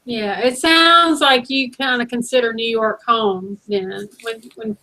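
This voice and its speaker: A woman hosting a live online interview, enthusiastic and quick to laugh. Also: fairly high pitch, bright, forceful tone, rough recording. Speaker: host.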